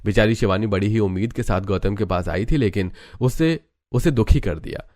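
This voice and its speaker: A man's voice: fairly low pitch, normal speed, darkish tone, dry recording, studio quality. A man narrating a scripted family drama story as its dramatic storyteller.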